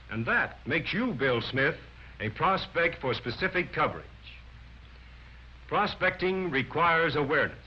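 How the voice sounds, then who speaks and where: A man's voice: on the high side, a little hiss or hum. A man delivers scripted 1940s instructional film narration in an emphatic, declarative, instructive manner. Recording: dull band-limited 1940s film soundtrack, music.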